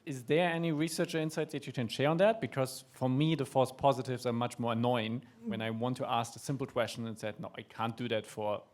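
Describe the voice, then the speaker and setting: A man's voice: mid-range voice, fairly even intonation, a little fast, hardly any pauses, darkish tone. A man in the audience politely asking questions after a conference talk. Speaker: audience member.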